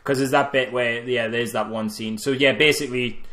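A man co-hosting a film podcast, arguing his opinions casually in a halting, repetitive way. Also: medium pitch, fairly even intonation, a bit bright. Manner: halting, repeating phrases as he argues opinions. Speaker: podcast co-host. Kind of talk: casual film critique chat.

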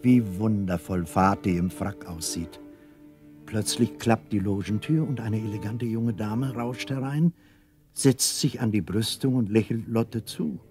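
A man's voice: on the low side, measured pace, warm tone, faint music behind. A man narrating a scripted children's radio play as a storyteller.

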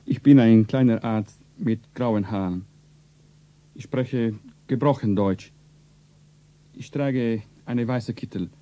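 An actor playing a small grey-haired foreign doctor in a radio drama, grave, halting and formal, with an Italian accent. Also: male voice, mid-range voice, dark tone, dull audio, natural voice.

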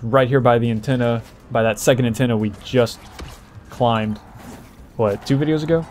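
A man's voice: medium pitch, fairly even intonation, normal speed, a little mellow, soft background music. A man doing casual, explanatory gameplay walkthrough commentary as the host, talking informally to viewers.

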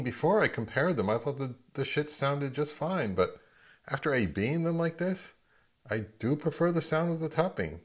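A middle-aged man, narrating a product review of audio gear. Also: medium pitch.

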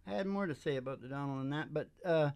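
A middle-aged male show host with a fairly high voice talks casually from the stage. He is opinionated and digressive, stopping and starting as he wanders through his stories. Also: fast talker, a little mellow, smooth. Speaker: host of a music and storytelling show. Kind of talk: rambling casual storytelling between songs. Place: small dim stage with curtains. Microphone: microphone on a stand.

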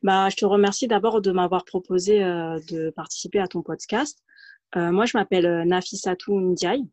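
A woman's voice: on the low side, moderate pace, darkish tone, no echo. Hesitant woman on a dull, lo-fi call. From France, Paris region. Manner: hesitant, many fillers and restarts. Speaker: guest. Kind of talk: casual interview, telling her career story. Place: home room, video call. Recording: dull, lo-fi video call audio.

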